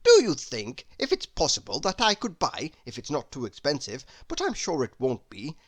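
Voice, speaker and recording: A woman's voice: deep voice, wildly swooping pitch, moderate pace, exaggerated voice. A woman voicing a rambling, chattering storybook man who keeps second-guessing himself. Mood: rambling, dithering. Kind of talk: children's storybook character voice.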